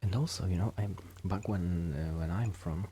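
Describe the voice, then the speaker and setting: A man who speaks English as a second language, chatting softly and intimately as in an ASMR role-play, hesitant and self-deprecating, often slipping toward a whisper. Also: deep voice, normal speed, slight background noise. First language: not English, a second-language speaker. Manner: soft-spoken, often dropping into whispers, hesitant. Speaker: ASMR performer playing a musician friend.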